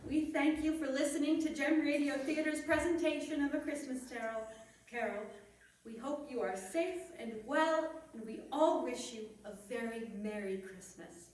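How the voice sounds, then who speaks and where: A woman's voice: high voice, moderate pace, a little mellow. A woman narrating a scripted radio play in a storytelling style. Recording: echoey room, distant microphone, faint noise.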